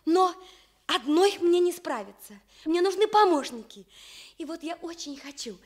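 A woman's voice: very high-pitched, animated, normal speed. An actress playing a principled, impassioned woman journalist, earnest and emphatic in the style of Soviet radio theatre. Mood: resolute appeal.

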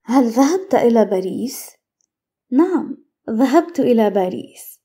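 A woman reading translations of lesson sentences in a brisk, clipped manner. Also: fairly high pitch, lively intonation, dark tone. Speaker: Arabic translation narrator. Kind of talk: scripted translation narration.

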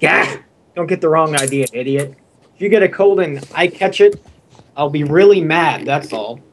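Man acting scolding young roommate, swooping pitch. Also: lively intonation, normal speed, natural voice. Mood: flustered scolding. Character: gruff, scolding but caring young male roommate. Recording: noisy mic with clicks.

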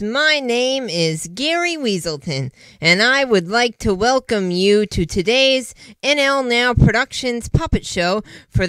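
A man's voice: high voice, a bit bright, clear, resonant tone, no room sound. A man voicing a cheerful, polite male puppet talk show host for children, chatty and folksy as he presents like an eager showman. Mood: eager, welcoming.

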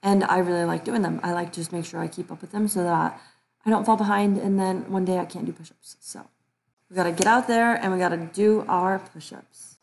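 A woman's voice: on the low side, steady tone, a little fast, creaky, a little mellow, slight background noise. A woman in her twenties chatting informally as a fitness vlogger, explaining things in a rambling, casual way. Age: 20s. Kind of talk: casual vlog chat and workout voice-over.

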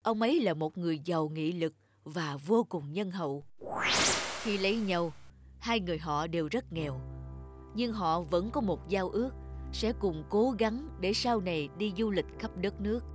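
A woman voicing a portly male angel in a cartoon, speaking in a calm, kindly way. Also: lowish voice, normal speed, close to an everyday voice. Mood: gentle. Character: portly male angel, gentle and soft-hearted. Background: music.

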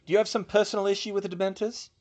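A man's voice: sits high, level tone, rapid-fire, darkish tone, pitched above the performer's own voice. A man voicing a male ambassador in an audiobook, suspicious and challenging, with pointed, needling delivery.

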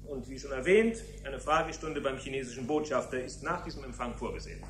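A man's voice: mid-range voice, fast talker, roomy sound, noisy background, natural voice. A man playing an officious, courteous tour guide in a radio play, speaking in formal phrases. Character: officious male tour guide, polite and proper.

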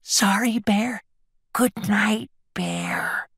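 A man's voice: very high voice, wildly swooping pitch, slow, no room sound, studio quality, pitched above the performer's own voice, exaggerated voice. A man voicing a small, polite, apologetic and curious mouse in a storybook, meek and courteous. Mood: sorry, hushed. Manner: meek, courteous, soft-spoken.

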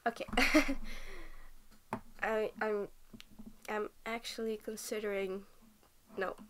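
A woman's voice: mid-range voice, steady tone, slow, fairly bright tone, slight background noise. A young woman from Norway, just woken up and tired, rambling through casual game commentary at her computer, often half-whispering and stopping mid-sentence. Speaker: game vlogger.

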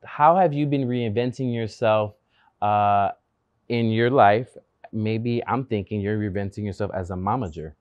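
A man's voice: lowish voice, unhurried, no room sound, narrow, tinny audio. Friendly Spanish-accented man, dry sound. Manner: agreeable, frequent backchannels, mixes in Spanish phrases. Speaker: host, interviewer. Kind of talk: casual interview conversation. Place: shaded outdoor patio with plants. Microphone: lapel.

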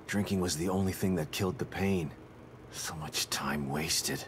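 A gruff, weary detective. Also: male voice, sits low, moderate pace, fairly bright tone, slight background noise. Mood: bitter, regretful. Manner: terse and guarded, bitter self-reproach. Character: weary, gruff male detective in his forties. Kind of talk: video game cutscene acting.

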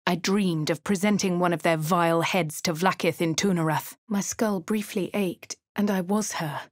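An actress voicing a posh English woman adventurer in a video game, speaking crisply with a dry, self-assured manner. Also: lowish voice, normal speed, no room sound, natural voice. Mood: wry. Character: posh young woman adventurer, cool and wry. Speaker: player character. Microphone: close studio mic.

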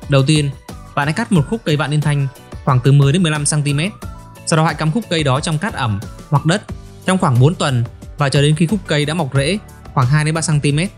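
A man narrates as host of a gardening advice segment. His voice sits a little high and his delivery is scripted, orderly and instructional. Faint music plays behind him. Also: a little fast.

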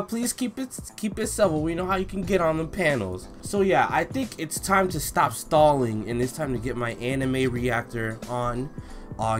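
Expressive young man, casual reaction host. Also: medium pitch. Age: young adult. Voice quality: slangy, informal. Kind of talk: casual reaction commentary and read-along. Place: small indoor room at home.

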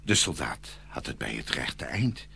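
A male storyteller narrates a fairy tale. His voice is low and lively, with a bright, forceful edge and dramatic delivery. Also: measured pace, gravelly, a little hiss or hum.

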